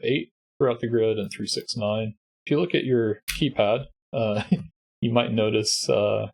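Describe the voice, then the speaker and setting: A man with a stuffy, congested voice talks a listener through a logic puzzle one cell at a time. His tone is low and slightly husky, and his explaining is patient and methodical. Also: low-quality recording. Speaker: puzzle solving host. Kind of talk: sudoku walkthrough.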